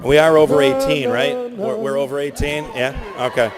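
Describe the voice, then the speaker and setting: Guy hyping up a convention crowd as host, highish voice, big reverby hall. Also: very animated, moderate pace. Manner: hyping the crowd, projecting loudly. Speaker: panel host and creator. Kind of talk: live convention stage announcing. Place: large convention hall stage. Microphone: stage microphone through PA.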